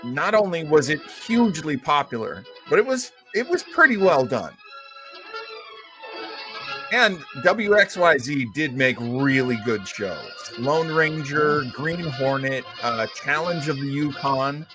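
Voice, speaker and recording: Lively higher-voiced American man over music. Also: slow, low-quality recording. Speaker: co-host of retro radio show. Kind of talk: casual commentary.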